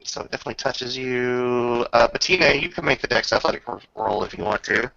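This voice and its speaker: A man acting as game master, improvising descriptions and rulings in casual, halting talk with a creaky voice. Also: mid-range voice, sharp tone, dry recording, muffled recording. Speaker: game master running a tabletop roleplaying game.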